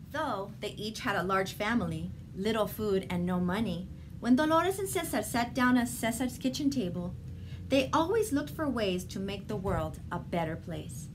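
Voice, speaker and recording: A woman reading a children's picture book aloud in a deliberate, expressive storytelling manner. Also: medium pitch. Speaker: storybook reader. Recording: clearly noisy background ambience.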